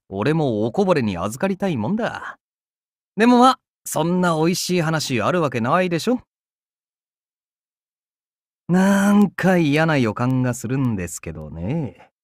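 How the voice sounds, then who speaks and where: Young game archer: roguish, wry, teasing slacker, casual drawl, animated intonation, dry studio sound. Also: male voice, a little high, no room sound. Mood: breezy, then weary, wry. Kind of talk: Japanese mobile game character voice lines.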